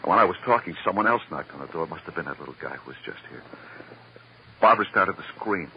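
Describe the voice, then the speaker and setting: An actor playing a desperate American murder suspect in a 1950s radio drama, halting and stammering insistently as he confesses. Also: sits low, animated, creaky. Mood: desperate confessing. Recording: dull, noisy vintage radio recording.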